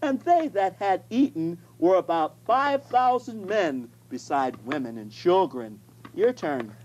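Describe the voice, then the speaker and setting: A reader delivering scripture in a deliberate manner, halting at times. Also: mid-range voice, sing-song, darkish tone, dull audio. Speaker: Bible reader. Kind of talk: scripture reading.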